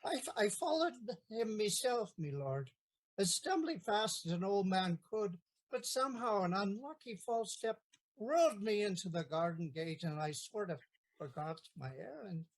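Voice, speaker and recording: A woman's voice: medium pitch, animated, measured pace, low-quality recording. Woman playing a tipsy, stubborn old gardener. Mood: tipsy, boastful. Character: old half-drunk male gardener, stubborn and comic. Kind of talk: comic period stage acting.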